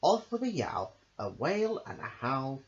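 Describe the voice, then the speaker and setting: A man's voice: mid-range voice, wildly swooping pitch, measured pace. A man reading rhyming children's stories aloud in a rhythmic sing-song way, drawing out the ends of phrases. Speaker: amateur storybook reader. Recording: dull lo-fi recording, faint noise.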